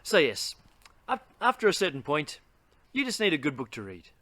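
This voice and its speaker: A man's voice: fairly high pitch, huge pitch swings, moderate pace, bright, forceful tone. A man hosting a read-aloud and giving tips on reading stories, speaking in a theatrical, enthusiastic way. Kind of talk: animated read-aloud and instructional talk.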